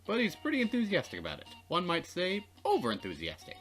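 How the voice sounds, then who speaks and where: A man reading a visual novel aloud as a Let's Play narrator, expressive and playfully dramatic. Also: sits high, fast talker, soft background music.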